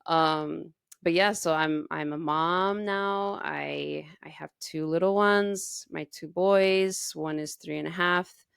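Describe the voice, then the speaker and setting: A woman's voice: low voice, fairly even intonation, slow, vocal fry, a bit bright, clean tone, no echo, clean studio sound. A woman in her thirties from the United States, raised in Texas, chatting casually as a podcast host in informal speech peppered with "like". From United States, grew up in Texas. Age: 30s. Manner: informal, heavy use of "like". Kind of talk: casual conversational podcast chat.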